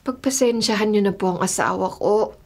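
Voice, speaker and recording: A woman playing an anxious, ailing young mother in a Filipino radio drama, gentle and plaintive. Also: medium pitch, normal speed, natural voice. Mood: puzzled, gentle.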